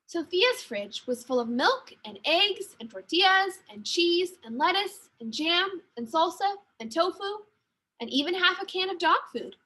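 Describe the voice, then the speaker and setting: A woman teacher reading a children's story aloud with expressive, deliberate narration. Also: very high voice.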